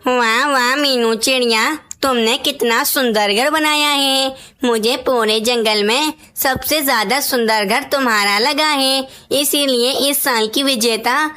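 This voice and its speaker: A pompous parrot king, acted by a woman, with a fairly high voice. He speaks with authority, appraising his subjects as a ruler in a children's cartoon would. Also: a little slow, hardly any pauses, sharp tone. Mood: delighted. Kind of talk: Hindi animated children's story acting.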